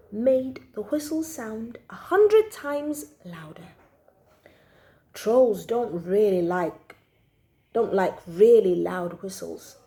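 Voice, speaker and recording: A woman's voice: fairly high pitch, gravelly, dark tone. A woman reading a children's story aloud expressively, drawing out key words for emphasis.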